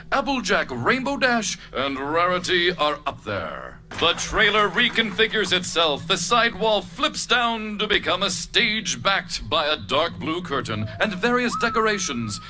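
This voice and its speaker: Slow, high-pitched man narrating a script. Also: very animated. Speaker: narrator reading stage directions. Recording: live reading with music behind.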